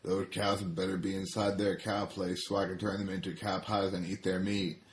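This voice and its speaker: A man doing a deep greedy bad farmer. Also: level tone, moderate pace, a little hiss or hum, lightly acted. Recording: roomy echo.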